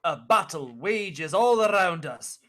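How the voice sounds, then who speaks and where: A narrator telling a story in deliberate, scripted fashion in the style of a 1940s radio play. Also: mid-range voice, lively intonation, background noise.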